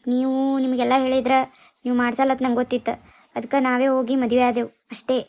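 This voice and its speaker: A woman's voice: on the high side, flat delivery, quick pace, a little mellow, close to an everyday voice. A woman playing a newly married young bride, defiant yet devoted, in a family drama. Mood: plain defiance.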